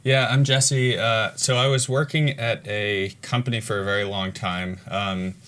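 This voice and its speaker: A man with a very deep, forceful voice answers panel questions as an engineering manager. He talks in a relaxed, rambling way, with frequent ums between his thoughts. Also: a little hiss or hum.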